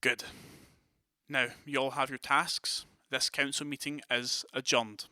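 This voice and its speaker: A man's voice: medium pitch, little pitch movement, measured pace, pushed and bright, a little hiss or hum. A man playing a commanding, decisive vampire prince in an audio drama, with a formal, authoritative manner.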